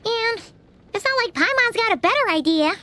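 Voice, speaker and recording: Game fairy companion: squeaky, very high, lively. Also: female voice, normal speed, a little hiss or hum, full character voice. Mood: curious. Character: small floating fairy companion girl, chirpy. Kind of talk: video game voice acting.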